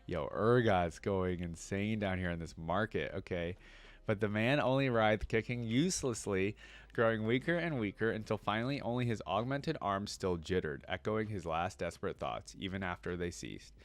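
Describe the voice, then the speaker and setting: A man's voice: fairly low pitch, normal speed. A man hosting a reaction video, reading a story aloud and commenting casually as a fan. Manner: informal slangy fan talk.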